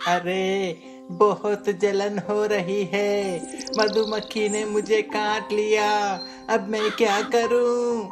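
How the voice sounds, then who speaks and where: A naughty cartoon boy, played by a man, whimpering slowly in a high-pitched, drawn-out complaint. The delivery stays on a narrow band of notes, with music behind it. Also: flat delivery. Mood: pained, whining. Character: naughty boy whimpering after a bee sting.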